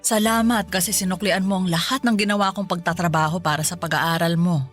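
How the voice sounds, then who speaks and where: An actress playing a loving, selfless middle-aged mother in a Filipino radio drama, reassuring and unhurried. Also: on the low side, steady tone, close to an everyday voice. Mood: tender, grateful. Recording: music bed under the voice.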